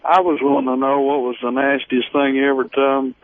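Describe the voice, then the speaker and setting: A man's voice: medium pitch, flat delivery, fairly quick. A man phoning in to a show, chatting casually in a crude, brash manner. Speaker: caller. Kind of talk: casual phone-in chat. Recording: tinny phone line.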